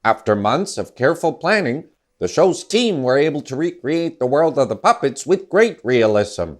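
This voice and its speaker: A man's voice: on the high side, big pitch swings, moderate pace. A man voicing a self-important male puppet entertainment reporter, gushing in promotional style on a fan-dubbed puppet show. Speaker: entertainment commentator. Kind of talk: scripted TV puppet show presenting.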